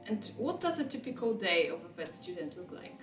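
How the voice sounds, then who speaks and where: A woman's voice: medium pitch, sing-song, brisk, distant mic, over music. Woman host in an echoey room. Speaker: interviewer, university recruitment host. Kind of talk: interview hosting.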